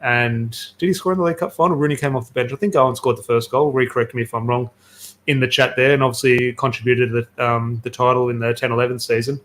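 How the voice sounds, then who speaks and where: A man's voice: medium pitch, steady tone, fast, fairly bright tone. A man hosting a casual football podcast, chatty and enthusiastic, telling stories with plenty of fillers. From Australia. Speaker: podcast host.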